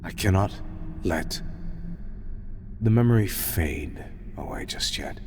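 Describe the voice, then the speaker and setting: A man playing a weary, proud, grieving god in a fantasy audio roleplay, solemn and formal, often breathy. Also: low-pitched, lively intonation, exaggerated voice. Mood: weary, mournful. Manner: solemn, measured, formal archaic phrasing. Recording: clearly noisy, ambient background sound.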